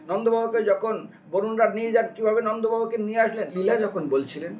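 A man's voice: high-pitched, a little hiss or hum. A man preaching in a devotional storytelling style, explaining scripture and asking rhetorical questions as he goes. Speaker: religious preacher giving a devotional lecture. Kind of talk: devotional storytelling sermon with recited scripture verses. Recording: distant microphone with music behind.

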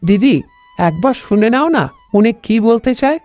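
A woman voicing a gentle, helpful village friend in a cartoon, speaking in a polite, coaxing way, with dull lo-fi sound. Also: a little high, animated, unhurried, faint music behind. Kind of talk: cartoon morality tale dialogue.

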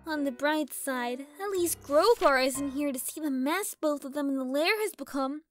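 A woman's voice: high-pitched, normal speed, soft background music, pitched above the performer's own voice, lightly acted. A woman voicing a scheming little girl pegasus pony villain with a peevish, whiny, dramatic delivery in a cartoon-style story reading. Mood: nervous. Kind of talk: cartoon-style character voice in fan fiction reading.

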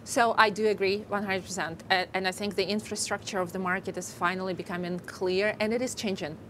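A woman's voice: medium pitch, brisk, slight background noise. Ukrainian-accented woman explaining earnestly. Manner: earnest, explains in steady lists. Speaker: guest, company AI lead. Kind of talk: interview answers. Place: studio set on a stock exchange floor. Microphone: lapel mic.

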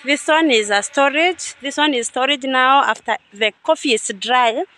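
Young woman, high bright voice, casual vlog guide who thinks aloud; phone mic outdoors with faint background noise. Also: normal speed. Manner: chatty, unsure, thinking aloud. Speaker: vlogger touring a coffee farm. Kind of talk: casual guided walk-through. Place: outdoors at a coffee farm and factory. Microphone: phone camera held by speaker.

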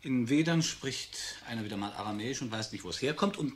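Actor as a polite, mid-pitched institute assistant. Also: male voice, lively intonation, fast, slight background noise, natural voice. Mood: amused reporting. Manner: polite, businesslike. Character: obliging male assistant at a research institute. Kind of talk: German radio drama acting.

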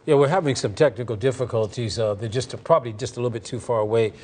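A man's voice: mid-range voice, fast, a little mellow. A middle-aged man presenting a morning news show as a studio anchor. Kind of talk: broadcast presenting.

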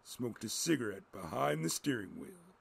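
A man's voice: mid-range voice, very animated, moderate pace, gravelly, slightly rough. A man reading a scripted story as a dramatic narrator.

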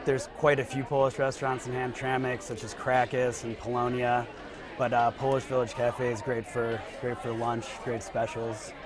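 A man in his thirties talking casually about restaurants as a guest in a TV news studio, relaxed and hesitant, with lots of fillers. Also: medium pitch, moderate pace, crowd noise.

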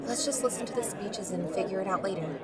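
A woman's voice: medium pitch, quick pace, far from the mic, over a noisy crowd, natural voice. A woman playing a cool-headed amateur investigator, speaking casually in an audio drama. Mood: hushed, practical.

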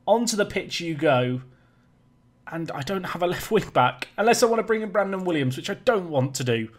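A man talking casually over a football management game, joking and self-deprecating as he goes. His voice sits a touch high for a man and sounds slightly raspy and worn today. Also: lively intonation, fairly quick. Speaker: gaming YouTuber.

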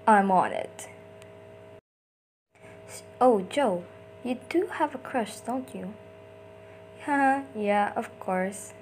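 A woman's voice: a little high, darkish tone, a little hiss or hum. A woman doing an amateur comic dub, acting out short character lines theatrically in switching voices.

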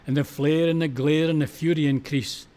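High-pitched Scottish man reciting a poem. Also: moderate pace. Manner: expressive, theatrical storytelling in broad Scots dialect. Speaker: poetry reciter. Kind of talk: dramatic recital of a Scots narrative poem.

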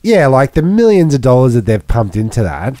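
Chatty man, lively pitch. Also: a little fast, no room sound. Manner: animated, chatty, jokey, rambling. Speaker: podcast co-host. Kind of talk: casual chat.

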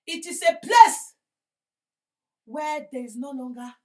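High-voiced woman preaching emphatically. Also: slow. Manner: emphatic preaching, swinging from loud to quiet. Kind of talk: sermon introduction. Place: studio set.

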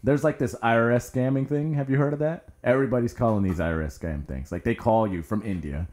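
A man's voice: mid-range voice, fast talker, darkish tone. A man co-hosting a casual podcast chat in a room with a friend, joking and laughing often. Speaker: podcast co-host. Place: room with co-host.

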